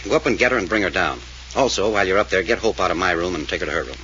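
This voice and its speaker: An actor playing a wisecracking male amateur detective in a 1940s radio drama, questioning in a brisk, wry, probing manner. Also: low-pitched, pushed and bright. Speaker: detective figure. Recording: dull old radio recording with faint hiss.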